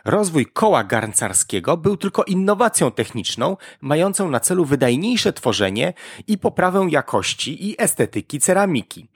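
A man's voice: mid-range voice, dry recording, clean studio sound. A man hosting an educational podcast, reading a scripted narration and explaining things directly to the listener. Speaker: podcast host.

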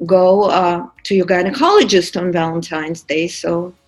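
A woman's voice: lowish voice, fairly even intonation, moderate pace, soft background music. A woman in her fifties with a Russian accent, wryly telling her personal story on a podcast with many fillers. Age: 50s. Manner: candid, wry, many fillers. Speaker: podcast producer and guest.

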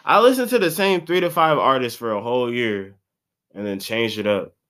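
A man's voice: medium pitch, normal speed, fairly bright tone. A man of about twenty, congested, rambling in a slangy, profane solo podcast monologue. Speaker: podcast host.

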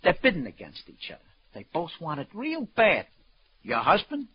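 An actor playing a slangy, streetwise auctioneer in a 1940s radio crime drama. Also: male voice, a little high, huge pitch swings, normal speed, slightly rough, dry recording, full character voice. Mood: wry, animated. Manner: colloquial slang, calls people sweetheart. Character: slangy, wisecracking Broadway auctioneer, streetwise man. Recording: dull, lo-fi old radio recording.

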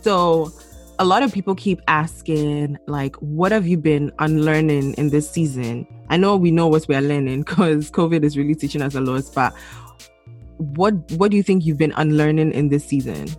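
A young woman from Ghana co-hosts a podcast in a casual, chatty way. Her voice sits low, and she fills her talk with "like" and "um". Faint music plays softly behind her. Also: normal speed. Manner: relaxed, chatty, with frequent fillers and laughs. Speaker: podcast co-host. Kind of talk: casual chat.

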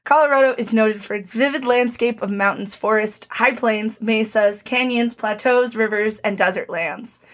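A woman with a bit of a hoarse edge and a voice pitched a touch high. She co-hosts a casual podcast, trading puns with her partner and reading background facts aloud in a steady, chatty way. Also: normal speed, few pauses. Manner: playful, punning, reads lists of facts aloud. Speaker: podcast co-host.

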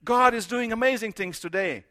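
A man's voice: sits high, normal speed, bright, forceful tone. A middle-aged man from Romania, preaching a sermon in English. He speaks emphatically, building through repeated phrases and rhetorical questions.

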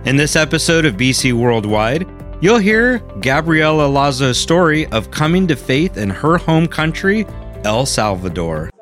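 A man reading a scripted podcast intro in a measured announcer's manner. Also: on the high side, very animated. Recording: soft guitar music behind.

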